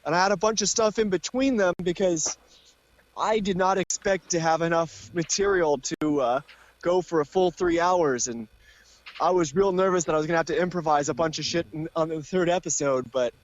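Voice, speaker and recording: A man's voice: a little high, normal speed, no room sound, highs cut off. A man who runs the group's tabletop game, chatting casually, laughing and swearing as he talks. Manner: laughs through his words, swears casually. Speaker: dungeon master. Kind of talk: casual chat.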